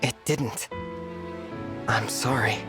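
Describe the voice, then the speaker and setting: An actor playing an earnest, soft-hearted young hero in a video game, hesitant and sincere. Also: male voice, medium pitch, takes it slowly, music in the background, lightly acted. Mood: apologetic, gentle. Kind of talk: scripted video game voice acting.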